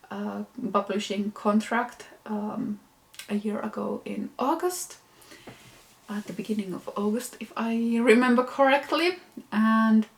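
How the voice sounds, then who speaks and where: Finnish woman host, native Finnish speaker, relaxed unhurried delivery, mid-range voice, chatty with ums. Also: mid-range voice. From Finland. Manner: unhurried, chatty, frequent ums and self-corrections. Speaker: knitwear designer and podcast host. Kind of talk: casual chat to camera.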